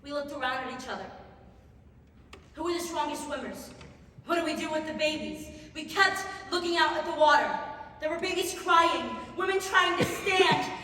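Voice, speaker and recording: An actress playing a distraught young refugee woman who survived a shipwreck, in a halting, emotive theatrical monologue with heavy pauses. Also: high-pitched, bright, forceful tone. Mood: anguished, desperate. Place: large reverberant hall or stage. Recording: very echoey hall, distant mic, noisy.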